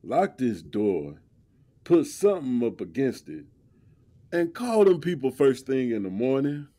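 A man's voice: medium pitch, big pitch swings, unhurried, mellow. An actor playing a protective, street-smart young man in an audio drama, speaking in slangy, assertive dialogue. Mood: tender, protective.

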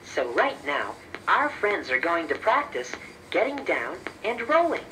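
A man's voice: on the high side, very animated, unhurried, bright, forceful tone, distant mic, slight background noise, heavily acted, rough recording. A man voicing a friendly, patient teddy bear, explaining things reassuringly in a children's educational story. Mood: patient, cheerful. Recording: children's cassette tape.

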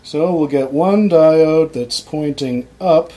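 Relaxed man explaining a workbench circuit. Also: fairly high pitch, slow pace. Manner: relaxed, with frequent ums and restarts. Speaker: electronics hobbyist demonstrating a circuit. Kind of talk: casual off-the-cuff tutorial. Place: workbench at home, indoors.

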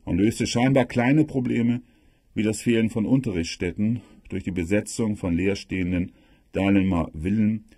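Husky, low-voiced man telling history. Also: dark tone, dry recording, clean studio sound. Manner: emphatic storytelling with rhetorical questions and dramatic pauses. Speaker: historian narrator. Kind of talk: spoken history lecture for an audio production.

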